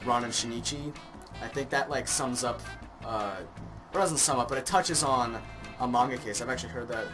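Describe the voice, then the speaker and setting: Male podcast co-host, medium pitch, agreeable and a little tentative, clear music in the background. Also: moderate pace. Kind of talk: casual fan podcast chat.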